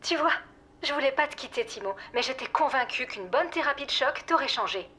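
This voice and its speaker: An actress playing a weary, exasperated former partner in audio fiction, blunt, sarcastic and firm. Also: female voice, high voice, expressive, fairly quick, pushed and bright. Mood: reproachful. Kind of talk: audio fiction drama acting.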